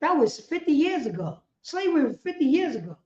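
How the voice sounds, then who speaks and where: A woman's voice: sits high, big pitch swings, fairly quick, darkish tone. Female religious teacher, impassioned and insistent, recorded in a roomy echo with muffled fidelity. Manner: emphatic, repetitive, rhetorical questioning. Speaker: class teacher, preacher. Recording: echoey room, dull lo-fi sound.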